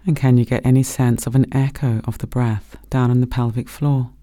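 A man gently leading a guided body scan meditation as a meditation teacher, speaking slowly and soothingly. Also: medium pitch, flat delivery, dry recording, clean studio sound. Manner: gentle, slow, soothing instruction.

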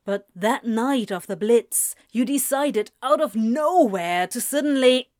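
A woman voicing a tender, insecure male lover who asks earnest, hesitant questions in a hushed audiobook style. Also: fairly high pitch, lively intonation, slow pace, no echo, studio quality. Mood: urgent, pleading.

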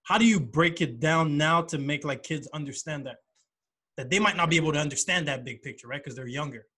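A man hosting a casual podcast chat in a relaxed way, asking rambling questions and giving frequent small replies. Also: on the high side, fairly quick, slightly rough. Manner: relaxed, gives frequent mm-hmm and right. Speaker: podcast host.

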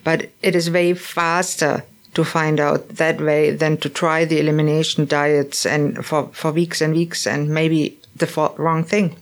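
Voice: medium pitch, little pitch movement, a little slow, few pauses. An interview host adding brief agreeing comments and short remarks in conversation.

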